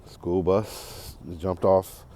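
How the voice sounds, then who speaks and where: An American man in his forties talking casually and unscripted as a podcast host, in a reflective, halting, rambling way while walking outdoors. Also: sits low, little pitch movement, mellow. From Detroit area, United States. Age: 40s. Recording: clearly noisy outdoor walking recording.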